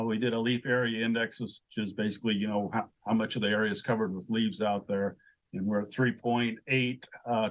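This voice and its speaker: A man giving measured, hesitant explanations as an agricultural expert in an informal interview. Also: on the low side, muffled recording.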